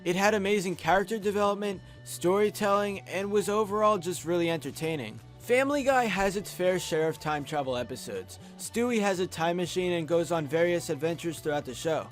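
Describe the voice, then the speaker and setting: A man's voice: high voice, animated, a little fast, hardly any pauses, faint music behind. A young adult man giving scripted top-five countdown commentary as a video host, speaking into a close microphone. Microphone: close USB studio microphone.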